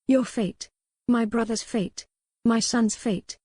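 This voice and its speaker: A woman's voice: a little high, steady tone, slow pace, darkish tone, breathy edge, no echo, studio quality, pitched above the performer's own voice. A woman voicing a proud young noblewoman and mother in a historical romance audiobook, formal, earnest and firm. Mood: resolute.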